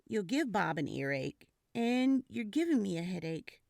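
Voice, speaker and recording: A woman voicing a patient, tired mother who scolds gently in a lower, calm, firm tone. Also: unhurried, gravelly, no room sound, studio quality, exaggerated voice.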